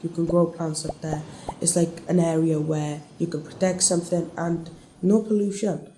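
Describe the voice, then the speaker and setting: A young woman chats loosely and casually. Her voice is mellow and a little low. It was recorded in a reverberant space with faint noise around her. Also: fairly even intonation, normal speed. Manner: loose, peppered with "like". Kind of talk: casual personal talk. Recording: roomy, faint background noise.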